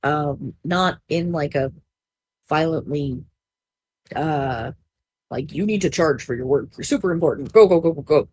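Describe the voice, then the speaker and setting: A woman on a discussion panel giving casual advice, halting as she goes and repeating phrases for emphasis. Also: sits low, darkish tone, slight background noise. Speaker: podcast panelist.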